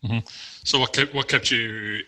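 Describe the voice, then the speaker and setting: A man's voice: medium pitch, fairly even intonation, a little slow, sharp tone. A man hosting an interview, asking questions and murmuring agreement as he listens. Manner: engaged, frequent affirming murmurs. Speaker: interview host. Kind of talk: conversational interview.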